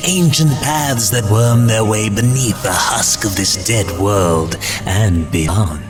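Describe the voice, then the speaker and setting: An actor playing a sly, greedy demon merchant in a fantasy video game, with theatrical, drawn-out wheedling. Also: male voice, on the low side. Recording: dramatic music behind voice.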